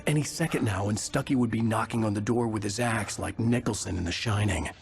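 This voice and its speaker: A man's voice: on the low side, fairly quick, vocal fry. Troubled man, brooding game hero over music. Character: troubled male writer hunting for his missing wife. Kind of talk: dramatic video game acting with narrated asides. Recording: music behind.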